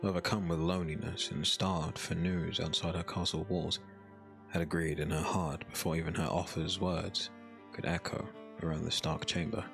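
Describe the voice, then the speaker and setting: A man narrates a dark fantasy audiobook in a deep voice with gravelly fry. His delivery is measured, scripted storytelling, with faint music underneath. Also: flat delivery.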